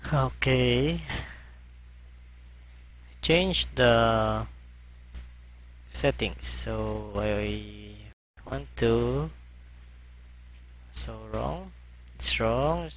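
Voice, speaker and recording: A man narrating a screen-recorded music software tutorial, halting as he tries settings. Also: medium pitch, a little hiss or hum. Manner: halting, hesitant commentary as he clicks through settings.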